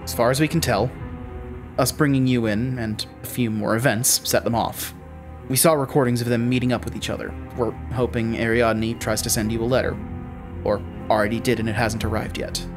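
An actor playing a driven, stern male investigator in an audio drama, with a measured, pressing delivery. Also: medium pitch, fairly even intonation, music in the background, lightly acted. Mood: measured, probing.

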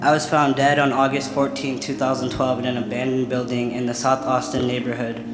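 A man's voice: mid-range voice, little pitch movement, a little fast. A man reads prepared text aloud solemnly as a volunteer at a memorial. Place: church lectern, indoors. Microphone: lectern gooseneck microphone. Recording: echoey hall, guitar music behind.

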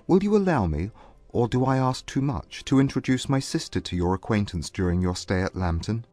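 Guy voicing a proud stiff gentleman, low voice, swings up and down a lot, super dry sound, soft music behind. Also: very animated, a little fast, dry recording. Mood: earnest formal. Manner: formal, hesitant courtesy. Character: proud reserved gentleman, young adult man. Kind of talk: audiobook drama acting.

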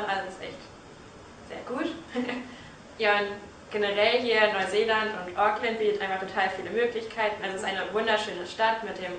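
A young woman speaks eagerly and cheerfully about her stay abroad, her voice bright with enthusiasm. She is recorded from a distance in a big indoor lobby, which adds a roomy echo and a faint background hiss. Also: medium pitch. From northern Germany. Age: young adult. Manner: cheerful, eager, laughs often. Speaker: language school student. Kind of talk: casual testimonial chat. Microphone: far camera. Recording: echoey room, distant mic, faint hiss.

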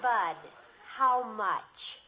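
An actress playing a bossy, earnest teenage big sister in a 1950s radio sitcom. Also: female voice, on the high side, expressive, extremely slow, sharp tone, slightly rough, heavily acted. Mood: insistent. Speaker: actor in a radio family sitcom. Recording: dull old radio recording.

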